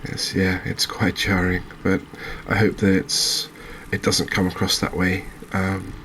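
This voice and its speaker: A man giving soft-spoken, relaxed ASMR-style game commentary in a hesitant, musing, understated way, as a gaming video creator. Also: sits low, a little slow, a little hiss or hum.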